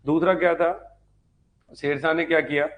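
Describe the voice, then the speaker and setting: Man, slightly high pitch, history teacher, informal live class, repetitive explanations, frequent check questions. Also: steady tone, normal speed, lo-fi sound, slight background noise. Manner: repeats key points and asks check questions. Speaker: history teacher for exam preparation. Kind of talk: informal live online lecture.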